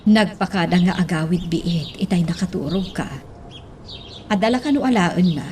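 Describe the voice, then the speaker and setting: A woman's voice: on the low side, normal speed, darkish tone, natural voice. A woman playing a caring, reassuring mother in a radio drama, with an Ilocano accent. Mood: concerned, soothing. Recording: faint birdsong and background noise.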